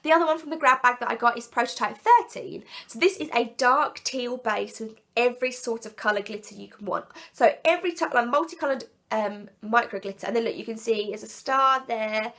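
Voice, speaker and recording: A woman with a sick, croaky and blocked-up voice, chatting enthusiastically and rambling a little as she reviews products in a casual vlog. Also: on the high side, fairly quick, few pauses, fairly bright tone. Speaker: nail polish vlogger.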